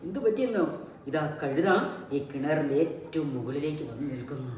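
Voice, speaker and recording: A woman with a low voice tells a children's story like a teacher, prompting with questions and repeating key words. The recording is very echoey and distant from the mic, with music behind her. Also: animated, fairly quick, dark tone. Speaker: puppet show host, storyteller.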